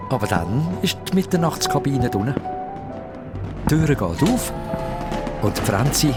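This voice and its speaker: A man with a Swiss German accent narrating a children's radio play as dramatised scripted storytelling. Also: medium pitch.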